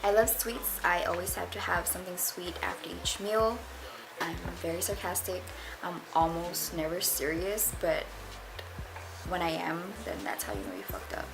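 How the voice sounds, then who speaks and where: A woman's voice: lowish voice, animated, normal speed, creaky, poor audio. A twenty-year-old woman from the Philippines, chatting casually and candidly as a vlogger introducing herself. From Philippines, moved to the United States at eleven. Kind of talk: casual get-to-know-me chat. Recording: music playing behind the voice. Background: music.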